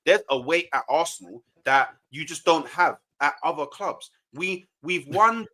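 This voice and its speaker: A man's voice: on the high side, expressive, a little slow, sharp tone. A man arguing defensively in a heated football debate on a livestream, often repeating himself when pressed. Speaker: football fan panelist.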